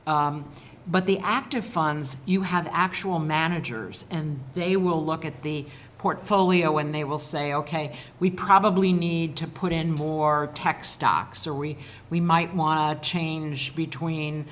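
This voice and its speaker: A woman on a panel explains investing in plain, patient terms. Her voice sits low for a woman. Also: steady tone, a little slow, a little hiss or hum. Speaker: panelist, retirement finance expert. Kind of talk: explaining to an audience. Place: event room with audience.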